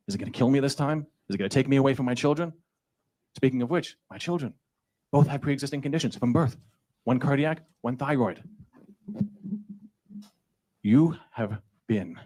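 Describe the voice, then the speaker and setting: A man speaking as a constituent at a town hall, angry, sarcastic and confrontational, into a handheld microphone. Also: a little high. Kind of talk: public comment speech. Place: public meeting hall.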